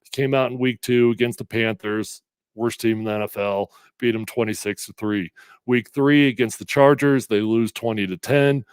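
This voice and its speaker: A middle-aged man hosting casual sports talk, a little congested, energetic and emphatic as he reads comments and makes predictions. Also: medium pitch, normal speed, smooth, no echo. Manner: energetic, conversational, emphatic with fillers. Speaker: sports radio host previewing a game.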